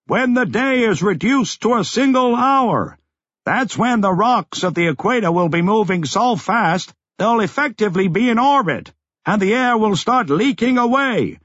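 Man acting a precise old sage, raised pitch. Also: very animated, slow pace, a bit bright, slightly rough, no echo, muffled recording, exaggerated voice. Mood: urgent, explaining. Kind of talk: audiobook character acting.

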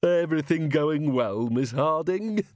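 A man's voice: on the high side, lively intonation, a little slow, darkish tone, dry recording, studio quality, voice pushed up. A man voicing a flustered, indignant, sentimental store owner in an audiobook, blustering and exclaiming. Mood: jovial.

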